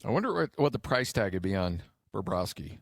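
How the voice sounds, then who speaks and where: A man with an American accent and a fairly low voice chats casually as a sports radio host. The sound is dry and close, like a studio, and his delivery is informal and conversational. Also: sing-song, fairly quick, creaky, dry recording. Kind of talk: casual sports talk banter.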